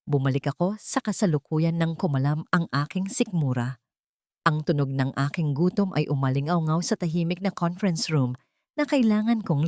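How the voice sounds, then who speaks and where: A woman's voice: deep voice, a little mellow, no echo, studio quality. A woman narrating a scripted first-person audio drama in an emotive, theatrical manner.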